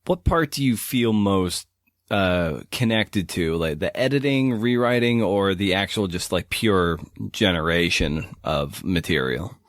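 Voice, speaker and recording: A man with a low-pitched voice hosts a casual interview. He phrases questions hesitantly and at length, circling toward his point. The recording is dry, with very little room sound. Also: lively intonation, unhurried, no echo. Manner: hesitant, rambling phrasing with many you-knows. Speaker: podcast host.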